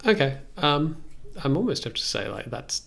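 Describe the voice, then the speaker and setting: A man's voice: medium pitch, big pitch swings, moderate pace. A man in his thirties talking casually and hesitantly, thinking aloud as he works on a music production livestream. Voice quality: gravelly, creaky. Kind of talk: casual livestream commentary while working. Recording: faint noise, keyboard and mouse clicks.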